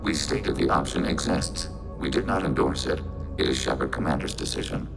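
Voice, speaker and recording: A man playing a calm, logical robot collective in a video game, with formal, clipped, emotionless phrasing and a robotic filter. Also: rumbling low, a little fast, creaky. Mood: measured, logical. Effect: robotic machine filter. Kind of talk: video game character acting. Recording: game music behind.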